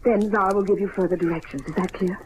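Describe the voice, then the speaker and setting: A desperate young woman with secrets, played by an actress in an old radio mystery. She talks fast and pleads urgently, over faint recording noise. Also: mid-range voice, fairly even intonation, a little mellow, close to an everyday voice. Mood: hurried, secretive.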